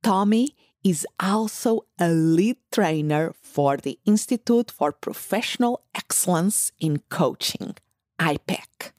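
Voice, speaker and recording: A woman reading a scripted podcast introduction in a measured, formal way. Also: fairly low pitch, lively intonation, darkish tone, no echo, studio quality. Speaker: announcer.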